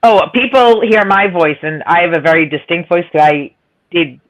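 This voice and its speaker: A woman with a raspy voice and a strong Boston accent, chatting casually and laughing a lot. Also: lowish voice, animated, normal speed, low-quality recording. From Massachusetts, USA. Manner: chatty, laughs often, repeats herself. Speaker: chat room participant. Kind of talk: casual chat.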